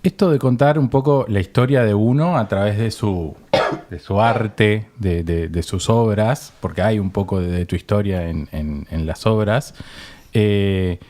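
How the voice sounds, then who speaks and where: A man's voice: lowish voice, measured pace, darkish tone, slight background noise. A man with a Rioplatense Argentine accent interviewing in a conversational way, circling tentatively toward each question. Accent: Rioplatense Argentine Spanish.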